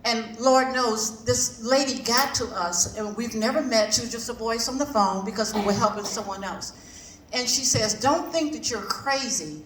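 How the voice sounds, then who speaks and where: A woman's voice: fairly high pitch, moderate pace, a bit bright. A woman giving an informal, colloquial talk to a group, emphatic and repeating phrases for effect. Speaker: community organisation representative. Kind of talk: informal public address to a group. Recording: echoey room, distant mic, background noise.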